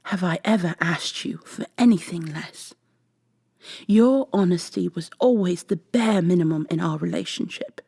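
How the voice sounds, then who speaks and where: A woman acts out a wounded robot woman in an intimate audio drama. Her voice is husky and a little low, dry and close. She speaks softly but cuttingly, with formal, bitter phrasing. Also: normal speed, dry recording. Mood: bitter, sarcastic. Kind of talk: roleplay ASMR audio drama.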